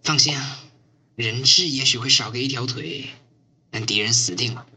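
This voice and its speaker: A man's voice: mid-range voice, moderate pace, pushed and bright, breathy edge. A man playing a hot-tempered, foul-mouthed police squad captain in a radio drama, impatient and agitated. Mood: urgent, agitated.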